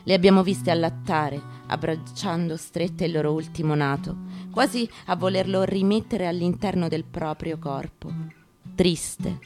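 A woman with a lowish voice reads literary prose as a stage actress would. Her phrasing is measured and emphatic, broken by deliberate pauses, while a guitar plays clearly behind her. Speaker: stage actress reading aloud. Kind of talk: dramatic literary reading with guitar accompaniment. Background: acoustic guitar.

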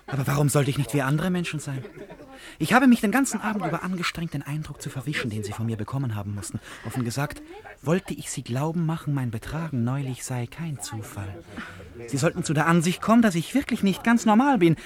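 An actor in a German radio play, playing an eccentric, dreamy gentleman who speaks in a courteous, poetic way. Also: a little high, lively intonation, fast, natural voice. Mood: earnest, courteous.

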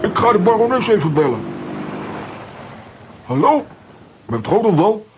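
A man's voice: high voice, sing-song, measured pace. A man playing an eager, self-important comic-strip adventurer in a children's audio drama, chatty and exclamatory. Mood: eager, breezy. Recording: noisy, muffled drama recording.

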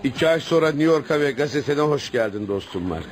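Man acting a chummy, admiring newspaperman, medium pitch. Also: animated, fairly quick, soft background music, lightly acted. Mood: friendly, impressed. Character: admiring, jovial male newspaper colleague and old friend. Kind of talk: radio theatre acting.

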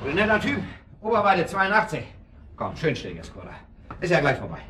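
An actor playing a worldly, condescending club man in a radio drama, rambling on and breaking off to hush his dog. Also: on the high side, huge pitch swings, a little fast, slight background noise, heavily acted. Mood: smug, dismissive. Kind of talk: naturalistic German radio drama acting.

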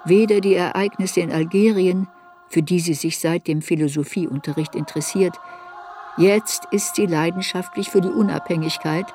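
A woman's voice: fairly low pitch, dark tone, natural voice. Woman as searching memoirist over music. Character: adult female memoirist, searching, unsparing, recalling her girlhood. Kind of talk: literary radio play narration.

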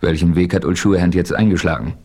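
A man playing a steady, heroic frontiersman who also narrates, in a measured, composed radio-play delivery. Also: sits low. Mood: calm, resolute. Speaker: first-person narrator and hero. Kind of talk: 1970s German radio play acting.

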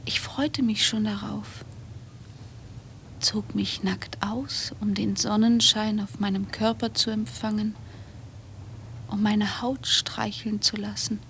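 A woman's voice: medium pitch, steady tone, slow, gravelly, a bit bright. An actress playing a troubled, defiant woman in a German radio drama, speaking with brooding intensity. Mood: subdued, confessional. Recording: noisy cassette tape of radio drama.

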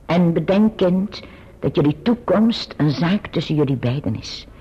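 A woman playing a grim but kindly old great-aunt in a radio play, speaking deliberately and admonishingly in a husky voice. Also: on the low side, lively intonation, exaggerated voice. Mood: brisk, affectionate. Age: older. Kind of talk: Dutch radio play acting. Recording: dull, muffled radio recording.

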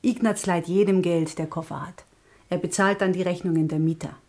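An actress playing a penniless young hotel guest in a radio play, wistful, soft-spoken and wryly resigned. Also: female voice, fairly low pitch, steady tone, fairly quick, natural voice.

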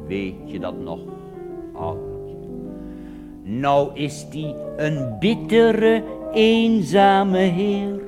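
A man performs a nostalgic old Dutch grandfather in a cabaret song, reminiscing to his wife in slow, drawn-out phrases with a Netherlands accent. The pitch sweeps widely, and music plays in a long-reverberating hall. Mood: wistful, tender.

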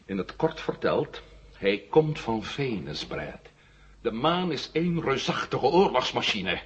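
A man's voice: medium pitch, sing-song, a little slow. A man playing a tough, brusque astronaut hero in a science fiction radio drama. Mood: grim, explaining. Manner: brusque, commanding. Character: tough, hot-headed male astronaut hero. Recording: dull, noisy old radio recording.

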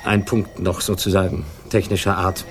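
An actor playing a formal, politely probing official in a 1960s German radio crime drama, speaking in a roundabout, courteous way. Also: male voice, sits low, flat delivery, moderate pace, faint music behind, close to an everyday voice. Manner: polite, circumlocutory.

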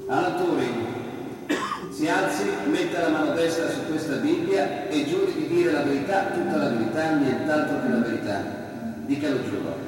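A male actor playing a stern court officer, solemnly and slowly commanding an oath in a radio drama. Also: a little high, animated, natural voice. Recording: distant, very echoey hall with clear noise.

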